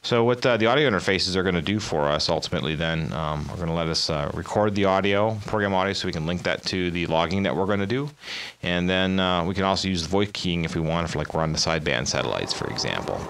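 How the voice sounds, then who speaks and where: A man with a deep voice walks through a piece of hobby radio software. He speaks casually and haltingly, explaining each piece as a presenter would. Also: smooth. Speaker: amateur radio operator presenting his logging software. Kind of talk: casual software demo walkthrough.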